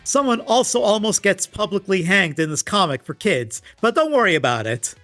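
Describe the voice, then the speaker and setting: Male video essayist, higher-pitched, wry and exasperated, casual scripted comedy commentary with faint music underneath. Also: sing-song, normal speed, sharp tone. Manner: sarcastic, exasperated; casual, swearing. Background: background music.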